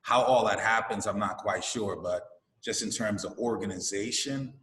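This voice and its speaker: A man coach speaking at a town hall discussion, measured and searching for his words. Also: on the low side, a bit bright. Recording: echoey room with long reverb.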